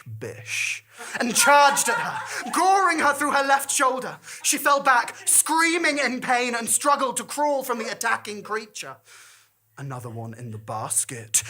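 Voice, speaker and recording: A woman's voice: a little high, pushed and bright. A woman performing a dramatic first-person story monologue with intense, theatrical delivery. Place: small theatre stage.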